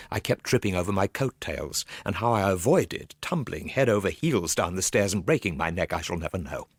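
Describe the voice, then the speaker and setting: An actor playing a nervous, gloomy Victorian gentleman telling a ghost story in a theatrical radio monologue, full of hushed dread and sudden swells. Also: fairly low pitch, no echo.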